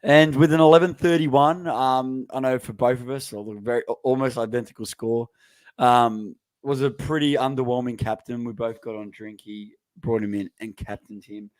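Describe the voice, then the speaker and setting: Relaxed man, dry close sound. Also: mid-range voice, normal speed, no echo. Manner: relaxed, rambling, with many fillers. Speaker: podcast co-host. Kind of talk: casual sports podcast chat.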